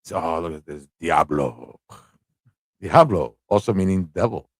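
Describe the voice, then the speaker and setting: A man's voice: low-pitched, expressive, measured pace, dry recording, clean studio sound. A man hosting a livestream in a casual, rambling way, thinking aloud and repeating phrases as he goes. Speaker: livestream show host.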